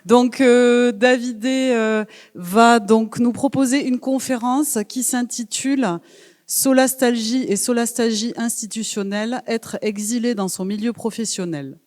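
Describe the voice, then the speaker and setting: A woman's voice: on the high side, steady tone, a little slow, darkish tone, in a large hall. Woman moderator introducing a speaker, hesitant. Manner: hesitant, with many fillers. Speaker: conference moderator.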